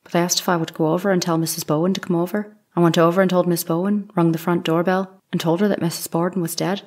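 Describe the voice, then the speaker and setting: A woman's voice: on the low side, level tone, fast talker, darkish tone, a little hiss or hum, natural voice. A woman playing a plain-spoken, deferential nineteenth-century housemaid giving matter-of-fact testimony in an audio courtroom drama. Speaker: actor playing a trial witness. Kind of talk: audio theatre courtroom reenactment.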